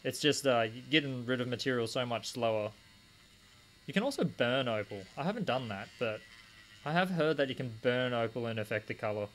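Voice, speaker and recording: Brief Young adult man, mid-pitched voice. Casual live-stream host who rambles and answers viewer comments as he works at a small home desk. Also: fairly quick, a bit bright, a little hiss or hum. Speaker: stream host polishing gemstones. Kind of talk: casual live-stream chat while working. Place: small home desk corner with a rotary tool running.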